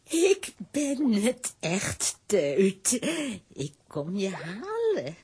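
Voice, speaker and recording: A woman playing a soothing, theatrical fairy-tale witch in a children's audio drama, with drawn-out delivery. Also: medium pitch, wildly swooping pitch, mellow, husky, exaggerated voice. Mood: soothing, solemn.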